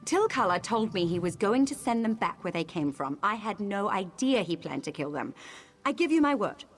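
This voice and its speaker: A woman's voice: mid-range voice, very animated, moderate pace. An actress playing a haughty, intolerant elf woman in a fantasy video game, speaking formally and theatrically. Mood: indignant, defensive. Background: background music.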